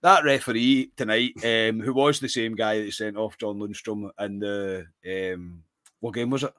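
A man's voice: on the low side, fairly even intonation, normal speed, fairly bright tone, no room sound. A man with a Scottish accent co-hosting a football podcast, chatting casually after a match, rambling a little and often calling his co-host mate.

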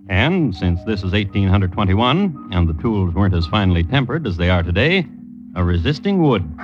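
An actor playing a droll, macabre connoisseur of crime, narrating deliberately and wryly as an old-time radio host. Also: male voice, fairly low pitch. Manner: deliberate, wry, understated. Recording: muffled vintage radio recording.

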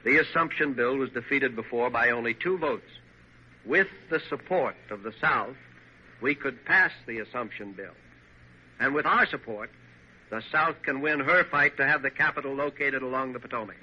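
An actor playing an earnest, shrewd political adviser who is deferential and formal, in an old-time radio drama. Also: male voice, medium pitch, moderate pace, slightly rough, lightly acted. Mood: earnest, scheming. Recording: dull vintage radio recording.

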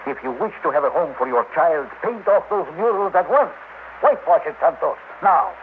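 Actor as a stern, higher-voiced village priest. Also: male voice, expressive, moderate pace, lightly acted. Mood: commanding. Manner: urgent, commanding, solemn. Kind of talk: classic radio drama acting. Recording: noisy old dull radio recording.

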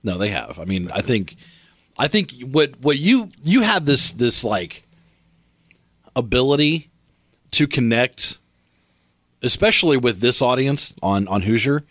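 A man's voice: mid-range voice, very animated, unhurried, pauses often, a bit bright, no room sound, studio quality. A man in his late forties co-hosting a casual chat, earnest and encouraging, often restarting his sentences. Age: 40s. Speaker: co-host.

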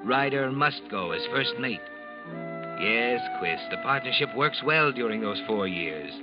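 A man's voice: medium pitch, expressive, a little slow, lightly acted. Man as ominous old-radio narrator over music. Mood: ominous, knowing. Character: ominous second-person narrator of a sea suspense tale. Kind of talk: second-person radio drama narration. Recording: muffled vintage radio recording. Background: dramatic music.

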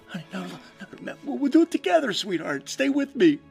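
Peter, an emotional, high-voiced pastor. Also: male voice, big pitch swings, fairly quick, gravelly, darkish tone, slightly rough, faint music behind, full character voice. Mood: desperate pleading. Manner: emotional, stammering, urgent. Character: Peter, anguished, weary male pastor leading survivors. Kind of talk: audio drama acting.

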